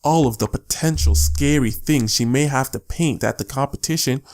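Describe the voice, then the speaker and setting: A man's voice: medium pitch, moderate pace, darkish tone. A man reading scripted story narration as the narrator of an audiobook.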